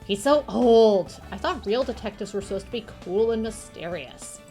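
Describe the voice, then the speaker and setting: A woman's voice: fairly high pitch, lively intonation, normal speed, mellow. A woman puts on a vain, spiteful young starlet from a visual novel game. She is scornful and self-important, with a whiny, dramatic delivery over music. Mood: petulant.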